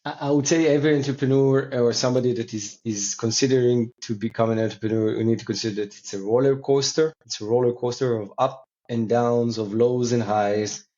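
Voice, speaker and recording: Halting man, dull lo-fi sound. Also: medium pitch, steady tone, warm tone. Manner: halting, repeats words and phrases. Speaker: company co-founder and CEO, interview guest. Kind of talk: conversational interview answers. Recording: dull, lo-fi call audio.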